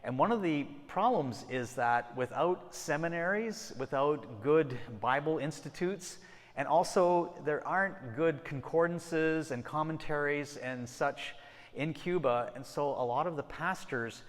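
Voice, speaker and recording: A man's voice: fairly high pitch, measured pace. A middle-aged man giving a conversational mission talk to a church congregation, often restarting his sentences. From Ecuador and Peru. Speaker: missionary guest speaker. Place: church sanctuary. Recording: long hall reverb.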